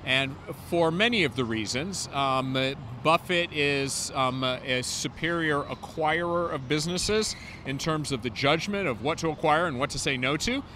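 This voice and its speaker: A man's voice: a little high, normal speed, few pauses, bright, forceful tone. A man in his fifties explaining investing as an interview guest, confident and fluent, speaking into a handheld mic on a city sidewalk. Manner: fluent and confident, many "um" fillers. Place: city sidewalk outdoors, traffic passing. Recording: outdoor street recording with clear traffic noise.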